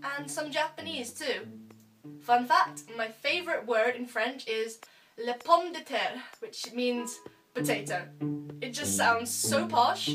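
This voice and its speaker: A woman's voice: sits high, recorded from a distance, poor audio. A young woman from Scotland, a YouTuber answering fan questions in a bubbly, playful vlog. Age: young adult. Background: loud background music.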